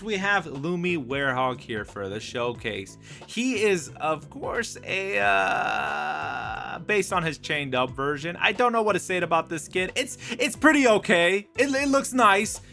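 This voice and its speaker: Bright-voiced man doing casual game commentary. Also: high voice, expressive, measured pace, creaky, soft background music. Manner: candid, opinionated, conversational. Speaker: video game YouTuber reviewing skins. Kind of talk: casual review commentary over gameplay.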